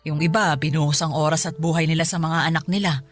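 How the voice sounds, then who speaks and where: An actress playing a kindly middle-aged mother in a radio drama, with a Filipino accent and a gentle, reflective, measured way of talking. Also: low-pitched, steady tone, natural voice. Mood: earnest, reassuring. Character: kindly, reassuring mother of a student son.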